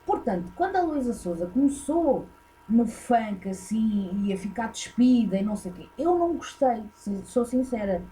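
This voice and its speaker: Woman, european portuguese accent, reaction host, blunt, rambling, warm mellow tone. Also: a little high, very animated, normal speed, rough recording. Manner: blunt, opinionated, rambling with many fillers. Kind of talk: casual reaction commentary.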